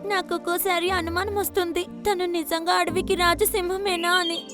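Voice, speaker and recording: A tiny, meek sparrow from a kids' cartoon. The female voice is extremely high and plaintive, with children's music clearly behind it. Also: level tone, normal speed. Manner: plaintive, timid. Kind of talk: children's cartoon story dubbing.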